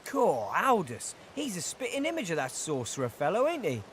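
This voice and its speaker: An actor playing an excitable, nervy guard in a video game, speaking colloquially. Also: male voice, high voice, normal speed, slight background noise, heavily acted. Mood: startled. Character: excitable, fearful male town guard sidekick. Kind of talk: video game character acting.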